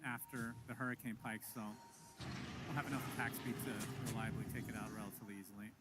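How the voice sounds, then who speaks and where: Man casting esports over loud music. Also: medium pitch, fairly even intonation. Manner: quick banter, laughs often. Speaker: esports caster. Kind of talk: play-by-play commentary.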